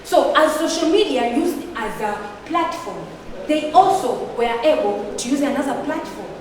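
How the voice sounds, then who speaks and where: High-voiced schoolgirl debater in an echoing hall. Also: animated, normal speed, crowd noise. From Tanzania. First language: Swahili. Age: teens. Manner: earnest, argumentative, with rhetorical questions and restarts. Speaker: student debater. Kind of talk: competitive debate speech. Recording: echoey hall, picked up from far off.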